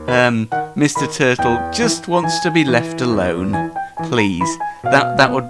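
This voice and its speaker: A man giving halting comedic talk in a hesitant, repetitive, deadpan way. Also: mid-range voice. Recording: loud guitar and piano music.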